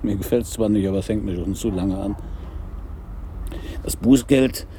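An actor playing a calm, stubborn, courteous eccentric in a German radio crime drama. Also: male voice, fairly low pitch, moderate pace, a little hiss or hum, close to an everyday voice. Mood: dryly annoyed.

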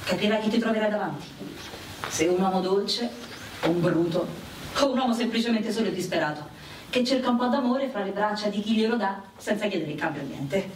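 Actress as defiant wartime prostitute, distant noisy recording. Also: female voice, mid-range voice, a little fast, lightly acted. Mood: advising, worldly. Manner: brash, theatrical, confiding. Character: proud, defiant, worldly wartime brothel prostitute. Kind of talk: dramatic radio play dialogue. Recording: roomy, distant mic with loud background noise.

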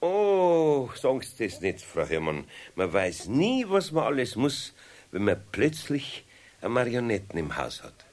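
A man's voice: mid-range voice, wildly swooping pitch, a little slow, darkish tone. A man playing a kindly, good-humoured older master carpenter in a radio play, with a Bavarian accent and a homely, chuckling manner. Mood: friendly, bashful. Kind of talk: 1970s children's radio play acting.

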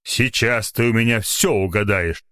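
A man voicing a rude, brusque male caller in a story, speaking in a gruff, unceremonious and menacing manner. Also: medium pitch, measured pace, a bit bright, dry recording, studio quality, lightly acted. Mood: rude, threatening.

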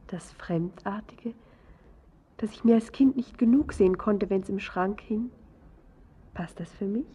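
An actress playing a tender, self-doubting young bride-to-be in a classic German radio drama, with breathy, hushed, halting phrases. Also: female voice, mid-range voice. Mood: shy longing.

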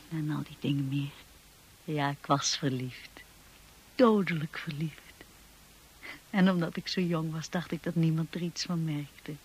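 An actress playing a wistful woman who narrates memories of first love in a radio drama, intimate and measured. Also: deep voice, a little hiss or hum, rough recording.

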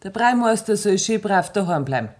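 An actress with a Bavarian accent playing an indignant, scolding farm wife in a radio play. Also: female voice, medium pitch, lively intonation, brisk, natural voice. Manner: scolding, emphatic dialect. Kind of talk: 1960s radio play acting.

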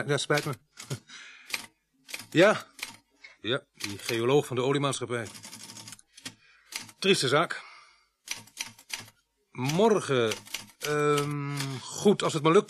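Wry police sergeant, noisy recording. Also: male voice, mid-range voice, fairly bright tone. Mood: harried, apologetic. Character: easygoing, wry male police sergeant of a rural station. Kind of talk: radio drama dialogue. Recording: noisy radio play recording, typing behind.

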